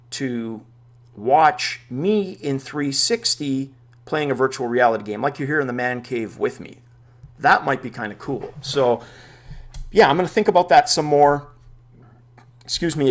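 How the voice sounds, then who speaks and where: A man whose first language is Dutch, hosting a casual VR news vlog from his home man cave, chatty and enthusiastic, thinking aloud as he goes. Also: medium pitch. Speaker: VR news show host.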